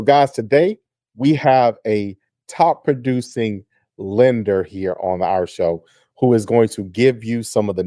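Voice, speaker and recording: A man hosting a real estate radio show, talking in an energetic, effusive way as he hypes up his guest. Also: fairly low pitch, dry recording, studio quality. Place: radio studio.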